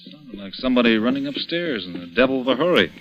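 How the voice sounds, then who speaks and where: A courteous, level-headed gentleman played by an actor, clipped and quick, with lively intonation. It is vintage radio drama on a dull recording. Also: medium pitch, music in the background, lightly acted. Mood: brisk, composed.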